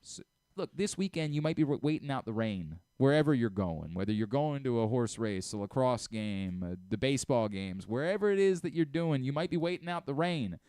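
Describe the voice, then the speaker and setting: A man's voice: medium pitch, animated, a little fast, warm tone, clean tone, dry recording, studio quality. A man hosting a radio talk show, chatting casually and emphatically, acting out his asides and reading sponsor spots conversationally. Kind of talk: animated casual chat and live ad reads. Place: radio studio.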